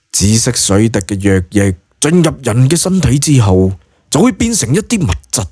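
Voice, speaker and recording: A man's voice: medium pitch, a little mellow, dry recording, clean studio sound. A man with a Cantonese accent narrating a scripted story in a dramatic audiobook style.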